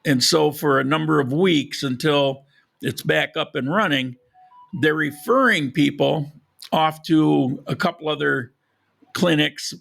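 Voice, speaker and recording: A man's voice: on the high side, vocal fry, fairly bright tone. A man hosting a live podcast, rambling casually with frequent restarts and losing his voice. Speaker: podcast host. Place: lives in Colombia.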